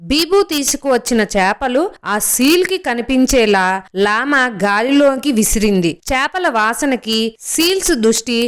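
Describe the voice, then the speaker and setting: A woman's voice: high-pitched, lively intonation, unhurried. A woman reading scripted narration for a children's story.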